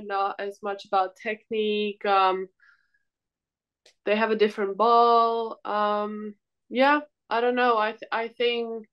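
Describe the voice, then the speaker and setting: A woman's voice: medium pitch, clear, resonant tone, muffled recording. A young woman from Greece, a volleyball player answering interview questions in a casual, hesitant, informal way. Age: young adult.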